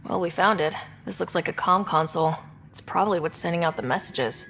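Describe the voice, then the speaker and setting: A woman's voice: fairly low pitch, a little fast, a bit bright, slight background noise. A woman playing a plucky, sardonic young human explorer in a video game, casual, dry and confident in delivery. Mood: matter-of-fact. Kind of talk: naturalistic video game acting.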